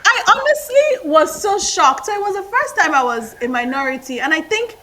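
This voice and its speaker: Brief: Nigerian woman in her thirties, podcast guest, high bright voice, lively and laughing. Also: normal speed. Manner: animated, laughs often, restarts phrases. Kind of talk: casual interview storytelling.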